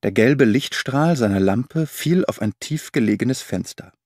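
Male audiobook narrator, mid pitch, lively melodic reading, dry close recording. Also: measured pace, no echo. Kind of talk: scripted first-person narration of a German audiobook.

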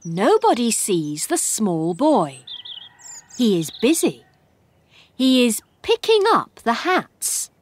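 Woman reading easy story for learners, slow, highish lively voice, faint noise. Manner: deliberate, expressive. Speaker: storybook narrator. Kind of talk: scripted narration of a simple story for English learners.

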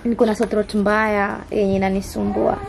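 A young woman from Kenya with a Kenyan accent, chatting to camera in a casual vlog, hoarse with a sore throat and switching between English and Swahili. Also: medium pitch, little pitch movement, fairly quick, background noise. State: hoarse, losing her voice. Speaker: vlogger. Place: indoors. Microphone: camera mic held close.

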